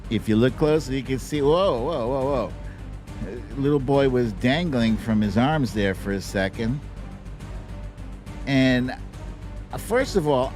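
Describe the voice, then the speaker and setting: A man hosting a livestream, giving casual, slangy and animated commentary on clips, with some swearing. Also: mid-range voice. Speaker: livestream show host.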